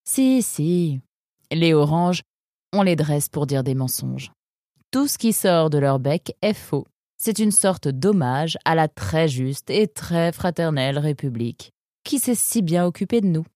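A woman voicing a confident, proud female fox who explains things briskly in a children's audio story. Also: deep voice, expressive, mellow, no echo, clean studio sound. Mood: proud, explanatory.

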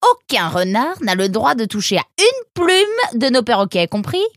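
A woman's voice: fairly low pitch, sing-song, measured pace, no room sound, studio quality, heavily acted. A woman voicing a small, wiry male fox, a threatening bully who barks slangy orders in a children's audio story. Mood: angry, threatening.

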